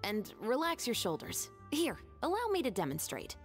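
An actress voicing a cold, dignified female musketeer who gives calm, firm instructions. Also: a little high, normal speed, music in the background. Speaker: weapons instructor in a game cutscene.

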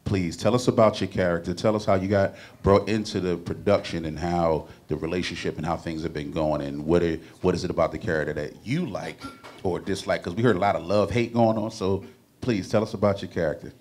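A man co-hosting a casual panel interview in a restaurant, lively and repeating words as he starts sentences, speaking into a handheld mic. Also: deep voice, fairly quick. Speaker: talk show co-host. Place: restaurant with wood-paneled walls.

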